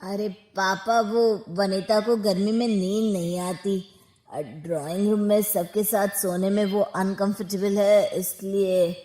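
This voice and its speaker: A woman's voice: medium pitch, fairly even intonation, slow pace, dark tone, reverberant, lightly acted. A woman voicing a polite young married son, mild and matter-of-fact, in a cartoon story. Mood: polite, explaining.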